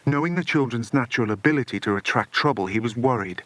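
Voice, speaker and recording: A man reading a children's adventure story as a dramatic, expressive audiobook narrator. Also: medium pitch, fast, no echo.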